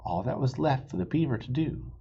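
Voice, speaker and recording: A man reads a children's storybook aloud expressively, pausing for simple asides and questions to young listeners. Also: mid-range voice, expressive, mellow, slightly rough, dull audio. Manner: asks the listeners questions, explains words simply.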